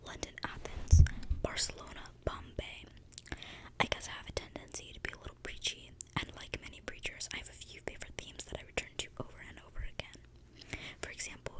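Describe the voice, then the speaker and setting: Whispering woman reading aloud for ASMR. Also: low-quality recording. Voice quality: breathy, unvoiced whisper. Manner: soft, intimate delivery. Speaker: ASMR video creator. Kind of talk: whispered ASMR book reading with casual asides. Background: thunderstorm outside the window.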